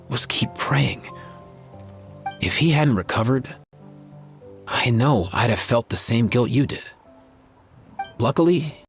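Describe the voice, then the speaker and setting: Caring young creature doctor for a video game, medium pitch, relaxed slangy delivery, light music behind. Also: male voice. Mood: grateful, reassuring. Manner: easygoing, slangy, sincere. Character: laid-back, caring young male creature veterinarian. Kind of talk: video game character acting. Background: faint music.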